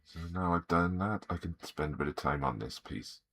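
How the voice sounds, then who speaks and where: Croaky low-voiced Welsh man. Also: moderate pace, a bit bright. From Wales. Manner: easygoing, greets viewers by name. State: croaky, slight sore throat. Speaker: artist streaming live. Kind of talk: relaxed live-stream chat while drawing.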